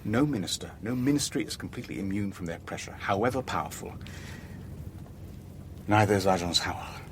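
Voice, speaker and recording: A male actor plays a shrewd, weary shipping boss in a radio spy drama. His voice sits low and he speaks in measured, clipped phrases, deliberate in narration and dialogue alike, over noticeable background noise. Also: expressive, natural voice. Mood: guarded, controlled. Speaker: narrator and lead character.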